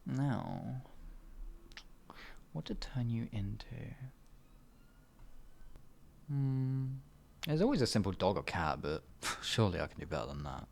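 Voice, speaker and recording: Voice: mid-range voice, animated, slow, gravelly, pauses often, a little mellow, slight background noise, full character voice. A performer voicing an evil, sadistic sorcerer in an ASMR fantasy roleplay, theatrical and condescending, stretching words and slipping into menacing whispers. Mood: condescending, sinister. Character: evil, sadistic, all-powerful sorcerer, gloating and cruel.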